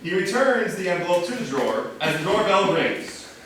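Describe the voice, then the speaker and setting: Male stage-directions reader, fairly high voice, scripted narration, recorded at a distance. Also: animated, normal speed. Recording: very echoey, distant mic, noisy background.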